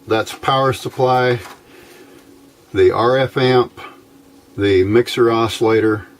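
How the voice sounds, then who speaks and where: A man giving a casual, unscripted technical explanation as a hobbyist presenter, haltingly, thinking aloud as he goes. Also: medium pitch. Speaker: amateur radio hobbyist presenting a how-to video.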